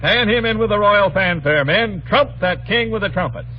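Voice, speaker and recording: Male radio announcer, animated pitch, grandiose courtly phrasing, 1940s variety banter, muffled old recording. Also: normal speed. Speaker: radio show host and announcer.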